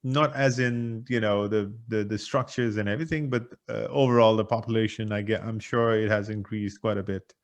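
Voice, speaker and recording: A man hosting a podcast, asking questions in a halting way full of restarts. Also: lowish voice, steady tone. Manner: hesitant, many fillers and restarts. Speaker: podcast host. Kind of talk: interview questions.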